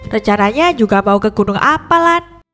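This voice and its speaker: A woman playing a friendly, agreeable young college student with speech in an animated story. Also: medium pitch, moderate pace, faint music behind. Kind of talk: animated horror story dialogue.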